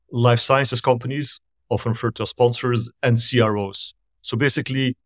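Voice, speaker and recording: A man's voice: mid-range voice, no echo. Belgian-born founder explaining his company. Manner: measured, explanatory business talk. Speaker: guest, startup founder and CEO. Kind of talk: remote podcast interview.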